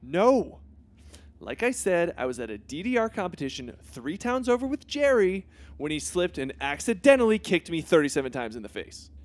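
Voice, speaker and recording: A man's voice: fairly high pitch, sing-song, normal speed. A man playing a vain, self-pitying teenage boy superhero in a comedy sketch, talking in a pompous, oblivious way. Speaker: Bruce Wayne Jr.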